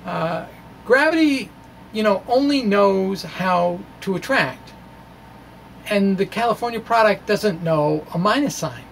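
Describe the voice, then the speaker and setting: A middle-aged American man giving an informal physics lecture to camera in a small room, explaining haltingly with trailing asides into a microphone on a stand. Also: sits high, lively intonation, gravelly, a little hiss or hum. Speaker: physics video blogger. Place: small indoor room with bookshelves. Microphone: microphone on a stand close in front.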